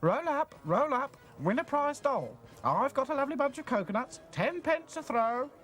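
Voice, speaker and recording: A man plays a shifty fairground huckster crying his stall in a loud, high bark. The pitch leaps well above his usual voice, with the brash patter of a cartoon villain. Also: sing-song, moderate pace, faint music behind.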